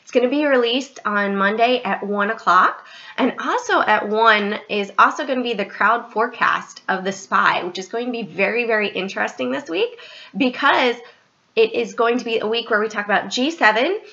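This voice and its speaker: A woman's voice: fairly high pitch, moderate pace, pushed and bright, dull audio. A woman with a stuffy, slightly scratchy voice from allergies, chatting casually as she walks viewers through stock charts and often corrects herself. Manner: chatty, direct address, frequent self-corrections. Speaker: trading channel host. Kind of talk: casual market commentary over charts.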